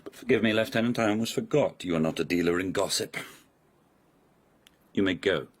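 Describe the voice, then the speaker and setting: An actor playing an arrogant, sneering court nobleman in a radio drama, condescending and husky. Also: low voice, a little fast. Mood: mocking, gossipy. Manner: haughty, condescending, drawling innuendo.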